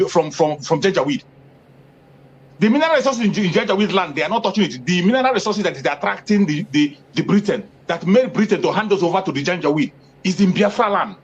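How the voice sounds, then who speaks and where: A man, speaking as an activist in an interview, emphatic, repeating phrases for force. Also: a little hiss or hum. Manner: emphatic, repeats phrases for force, rising pitch when stressing points. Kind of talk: impassioned political interview talk. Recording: dull lo-fi audio.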